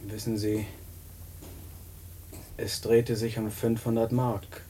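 An actor playing an earnest, hard-up young student in prison in a radio drama, hesitant, polite and naive. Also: male voice, fairly low pitch, little pitch movement, slow pace, warm tone, slight background noise. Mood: sheepish. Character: earnest, hard-up nineteen-year-old male student in prison. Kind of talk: post-war German radio play dialogue.

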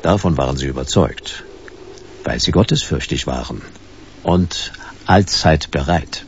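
A wry private detective in a radio crime play. The voice is deep and creaky, dryly ironic in short clipped phrases, with lively melody over a slightly muffled recording. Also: male voice, measured pace, slight background noise. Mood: dry narrating. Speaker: detective and narrator. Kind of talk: radio play, first-person noir narration.

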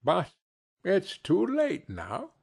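A man voicing an excitable old man in a storybook, nostalgic and boyish, exclaiming between laughter and tears. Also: high voice, extremely slow, vocal fry, husky, voice pushed up, full character voice. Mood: wistful regret. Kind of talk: dramatized storybook character voice.

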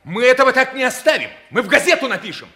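An argumentative hunter in an old radio drama, indignant and sarcastic. The voice is high and bright, with lively intonation. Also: male voice, quick pace, exaggerated voice. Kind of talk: 1960s Russian radio play acting.

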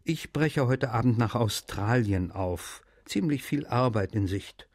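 An actor playing a male writer, reading in a measured, literary way for a radio feature. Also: medium pitch, animated, darkish tone, no echo, clean studio sound. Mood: friendly, content. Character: French male writer reading his friendly letters aloud.

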